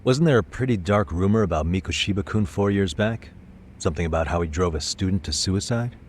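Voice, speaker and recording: An actor playing a composed private detective in a video game dub, speaking in a measured, direct, probing way. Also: male voice, sits low, a little hiss or hum, lightly acted.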